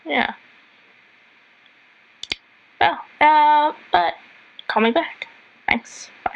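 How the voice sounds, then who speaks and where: A woman's voice: sits high, drawn-out words, creaky, lo-fi sound, full character voice. An actress playing a bored, world-weary woman leaving a casual voicemail, drawling and hesitant. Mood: bored, jaded. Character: bored, jaded woman sick of people.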